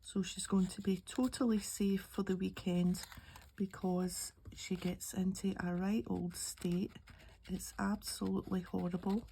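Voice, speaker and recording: A middle-aged Scottish woman, slightly croaky with a cold, chatting and thinking aloud as she narrates a casual craft tutorial. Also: medium pitch, flat delivery, a little slow, dark tone. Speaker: craft channel host. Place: indoor craft table. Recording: clearly audible background noise, handling clicks.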